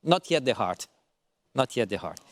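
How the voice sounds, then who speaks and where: A man moderating a panel in English, playful and animated, with halting phrasing. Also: medium pitch, dry recording. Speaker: moderator, professor.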